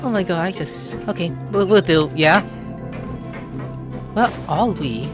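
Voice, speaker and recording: A woman doing casual, excitable let's-play commentary, exclaiming and gushing as she talks to the characters and to herself. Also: fairly low pitch, unhurried. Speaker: gameplay streamer. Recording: game music behind the voice.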